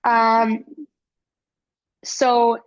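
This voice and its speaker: A woman's voice: a little high, completely flat delivery, very slow, muffled recording. A woman with a cold giving a casual academic presentation, earnest and full of fillers. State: has a cold. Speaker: student presenter.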